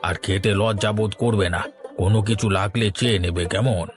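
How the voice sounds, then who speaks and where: A man voicing a generous, middle-aged village gentleman in a cartoon, with a hospitable, reassuring manner. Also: fairly low pitch, fairly even intonation, a little fast, faint music behind, natural voice. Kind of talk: Bengali cartoon dialogue.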